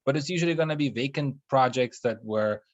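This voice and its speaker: A young man, co-founder of an investment firm, presenting in a business webinar and speaking haltingly with frequent ums and restarts. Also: medium pitch, dull audio. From Russia. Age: young adult. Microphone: video call.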